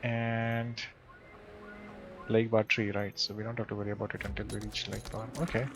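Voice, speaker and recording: A man's voice: fairly low pitch, little pitch movement, brisk, background noise. A man giving casual playthrough commentary, with video game music behind him. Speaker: game streamer.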